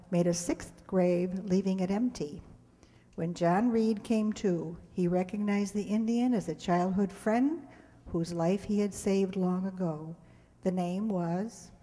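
A woman in her seventies reads a scripted introduction to an audience at a stage microphone, measured, with wry asides. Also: on the low side, warm tone. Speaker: presenter introducing a stage radio play. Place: stage in a community hall, indoors. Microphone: handheld-style microphone on a stand. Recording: hall reverb from stage microphone.

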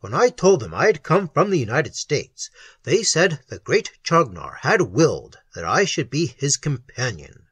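Horror audiobook role: male reader as haunted, anguished explorer, moaning and faltering, husky, wildly varied pitch. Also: sing-song, a bit bright, no room sound, full character voice. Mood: haunted, fervent. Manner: halting, moaning and sobbing, anguished. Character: tormented, trembling male explorer back from captivity. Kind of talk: dramatic horror audiobook acting.

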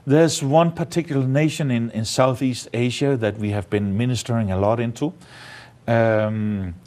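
A man's voice: mid-range voice, steady tone, a little mellow. A man from Denmark with a Danish accent, telling stories as a guest in a studio interview, with many fillers. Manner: halting, many fillers, non-native grammar. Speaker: evangelist guest. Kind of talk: interview testimony. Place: studio set, indoors. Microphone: lapel mic.

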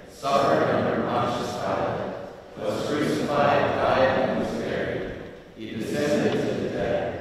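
Man, worship leader, measured prayer voice, long church reverb. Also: medium pitch, slight background noise. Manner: measured liturgical recitation. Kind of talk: leading liturgy and prayers. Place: large church sanctuary.

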